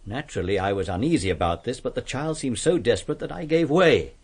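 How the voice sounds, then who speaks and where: An actor playing an earnest, kindly English vicar in a radio drama, speaking politely and precisely with some hesitation. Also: male voice, medium pitch, expressive, fast, darkish tone, husky. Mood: earnest, pleading.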